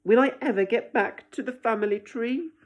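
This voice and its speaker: A woman's voice: a little high, moderate pace, darkish tone, voice pushed up, natural voice. A woman voicing an indignant little living stick man in a storybook, plaintive and emphatic as they chant protests in rhyme.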